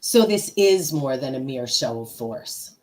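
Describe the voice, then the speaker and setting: A woman's voice: rumbling low, lively intonation, a little slow, a little mellow. A woman playing a sly, seductive shapeshifting villainess in a radio play, with a teasing manner. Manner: teasing, insinuating. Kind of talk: radio-play script reading.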